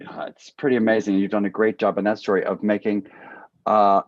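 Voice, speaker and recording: A man's voice: fairly low pitch, little pitch movement, fairly quick. A man moderating a panel conversation, speaking thoughtfully and with some hesitation. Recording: echoey room, slightly dull sound.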